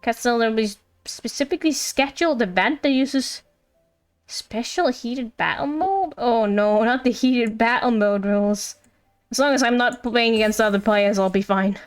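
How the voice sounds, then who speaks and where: A woman chatting casually on a game livestream, rambling and thinking aloud in a playful, giggly, self-deprecating way. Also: mid-range voice.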